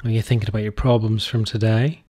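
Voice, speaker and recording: Man leading a slow guided meditation. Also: on the low side, level tone, slightly rough. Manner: gentle, unhurried instruction with short phrases and pauses.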